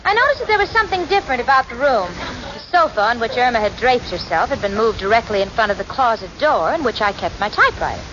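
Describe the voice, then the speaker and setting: A sardonic, sensible young woman speaks in a high, swooping voice with long-suffering patience. It is 1940s radio comedy on a muffled, noisy recording. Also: very animated, fast talker. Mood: wry. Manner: wry, exasperated, crisply articulate. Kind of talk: 1940s radio sitcom acting and narration.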